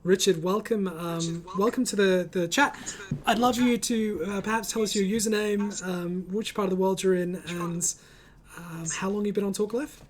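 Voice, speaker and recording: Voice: medium pitch, fairly even intonation, normal speed. Reassuring chat host on a livestream, reads out comments, casual, on an online call. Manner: encouraging, reassuring.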